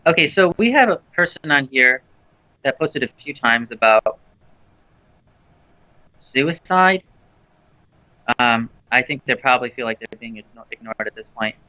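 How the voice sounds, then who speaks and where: A man's voice: lively intonation, a little slow, long pauses, no room sound, dull audio. Rambling man on a livestream. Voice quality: naturally low voice. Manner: rambling, with many fillers and restarts. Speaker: livestream co-host. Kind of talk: casual livestream chat.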